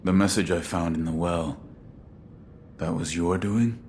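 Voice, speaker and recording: A loyal shinobi from a game cutscene. His voice is extremely low and gruff, his manner terse and solemn. A faint rumbling noise sits behind him. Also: measured pace, darkish tone. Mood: guarded. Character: stoic adult male shinobi bodyguard, loyal and terse. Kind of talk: video game cutscene acting.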